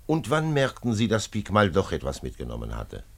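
An actor playing a calm, dogged middle-aged French police commissioner in a 1950s German radio drama, questioning in a measured, terse way. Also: male voice, fairly low pitch, heavily acted. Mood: insistent, probing. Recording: noisy vintage 1950s radio recording.

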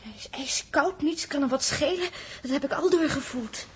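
Anxious, high-voiced crewwoman. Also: normal speed, fairly bright tone, a little hiss or hum. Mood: fearful. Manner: anxious, confiding. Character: frightened, intuitive female crew member confiding her dread. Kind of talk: 1960s Dutch radio drama acting.

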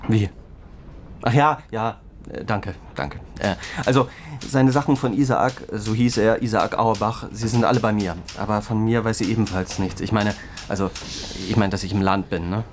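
A man playing an anxious young army private searching for his cousin, speaking haltingly and earnestly and repeating himself. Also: lowish voice, steady tone, natural voice. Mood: hesitant, earnest. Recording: clear ambient background noise.

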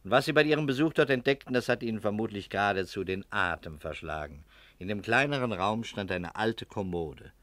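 Low-voiced, ironic private detective. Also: male voice, expressive, fairly bright tone, no room sound. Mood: calmly accusing. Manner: ironic, politely probing, deliberate. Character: shrewd, unhurried English private detective, male. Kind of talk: 1960s German radio crime drama acting.

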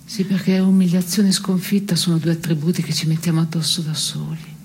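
An actress playing a dry, disillusioned woman in a radio theatre piece, giving terse, deadpan replies. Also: on the low side, brisk, a little hiss or hum, natural voice. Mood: dry, detached. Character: dry, disillusioned woman answering her melancholy companion. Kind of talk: avant-garde radio theatre dialogue.

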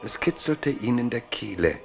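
A man narrating a literary radio play in German with measured, dryly ironic storytelling. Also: medium pitch, very animated, creaky, faint music behind. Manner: measured, dry storytelling with irony.

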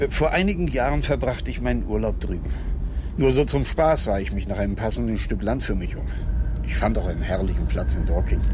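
Man acting a deferential, low-voiced English chemist. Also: noisy background, lightly acted. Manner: deferential, polite, wordy. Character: mild, courteous English chemist, wistful horse lover. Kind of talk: 1970s German radio crime drama acting.

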